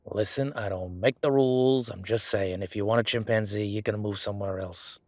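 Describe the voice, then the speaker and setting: A man plays a male records clerk in an audio roleplay, his voice on the low side. He is defensive and chatty, a lazy bureaucrat who rambles in everyday slang. The sound is close and dry. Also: steady tone, fairly quick, no room sound, natural voice. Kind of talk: solo audio roleplay, conversational acting.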